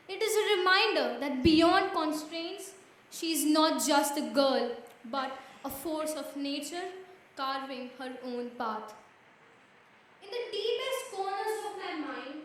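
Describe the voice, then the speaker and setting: A woman's voice: high voice, a bit bright, a little hiss or hum. A woman performing spoken-word poetry in a dramatic, declamatory manner, repeating phrases for emphasis. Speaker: slam poet. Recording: very echoey room, mic far off.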